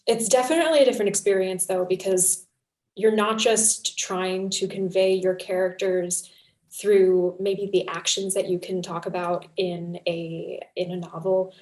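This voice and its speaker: A woman's voice: mid-range voice, steady tone, a little slow, a little mellow. A woman speaking as a writer on a discussion panel, talking in an effusive, rambling, conversational way. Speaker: panelist, fiction podcast writer. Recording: roomy, echoing sound.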